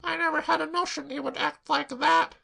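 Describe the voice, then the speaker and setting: A reader voicing a distraught young woman who sobs and wails in a high, breathless voice. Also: fairly even intonation, fairly quick, creaky, pushed and bright, full character voice. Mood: tearful. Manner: sobbing, high-pitched and breathless.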